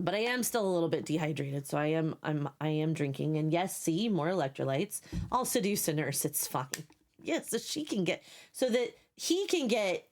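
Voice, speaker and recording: A woman's voice: low voice, a little mellow. Woman streamer, scratchy sleepy voice, blunt, sarcastic, swears a lot, casual chat. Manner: blunt and sarcastic, swears freely, repeats herself.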